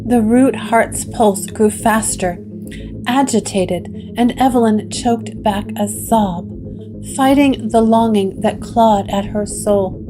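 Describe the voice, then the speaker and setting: A woman narrating a gothic horror story with measured, atmospheric delivery. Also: fairly high pitch, low-quality recording. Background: guitar music.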